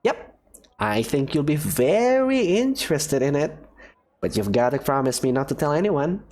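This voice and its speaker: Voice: medium pitch, sing-song, normal speed, darkish tone, lightly acted. A voice actor playing a cheerful, secretive woman professor who runs a daring experiment, talking in an upbeat, teasing way. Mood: breezy. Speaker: character voice in a fan visual novel. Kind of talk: visual novel voice acting.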